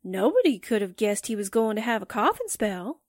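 A woman playing an eager, excitable, slangy newspaperwoman sidekick in a 1940s-style radio drama. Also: medium pitch, normal speed, no room sound, clean studio sound. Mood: puzzled. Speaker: actor in an amateur radio drama re-creation.

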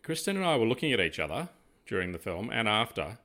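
Low-voiced older Australian man, chatting. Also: very animated, fast, pushed and bright. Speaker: podcast co-host. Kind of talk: casual chat.

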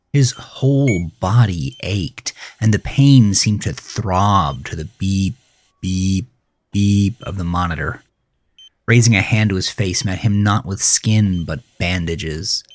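A man narrating a scripted horror story in a dramatic, deliberate and intense manner. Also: low voice, slightly rough. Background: music.

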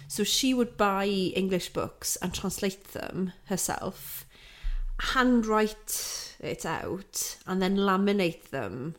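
A woman's voice: mid-range voice, expressive. A woman in her thirties from Wales, a native Welsh speaker, chatting casually as a podcast co-host and asking lots of questions. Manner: chatty, quick to laugh and ask questions. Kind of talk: casual chat.

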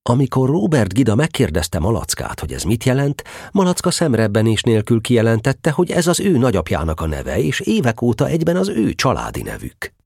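A man's voice: mid-range voice, very animated, creaky, darkish tone, husky, no echo, clean studio sound. A man narrating a storybook audio drama, telling the tale expressively.

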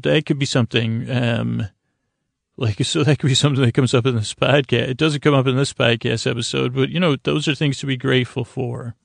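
Male podcast host for bedtime listening: very creaky, flat delivery, halting and meandering, often abandoning sentences midway. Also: medium pitch, dry recording. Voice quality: creaky, gravelly. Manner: meandering, halting, repetitive, trails off mid-sentence. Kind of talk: rambling improvised sleep podcast monologue.

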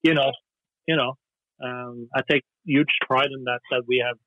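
A Norwegian musician talking casually in an interview, hesitant, with lots of fillers and restarts. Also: male voice, medium pitch, level tone, moderate pace, no echo. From Norway. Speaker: musician guest. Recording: dry tinny phone line.

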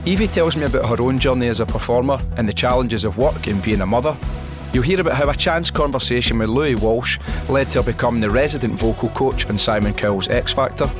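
A man's voice: mid-range voice, fast talker, music in the background. A man with a Scottish accent hosting a podcast, moving between scripted introductions and casual chat. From Scotland. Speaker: podcast host.

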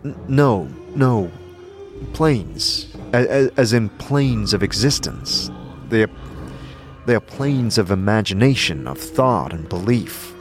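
Man acting a low-voiced, conciliatory fantasy wanderer. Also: lively intonation, slow pace. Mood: inquisitive, explaining. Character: calm, curious, conciliatory male fantasy wanderer. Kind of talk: fantasy audio drama acting. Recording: music behind the voice.

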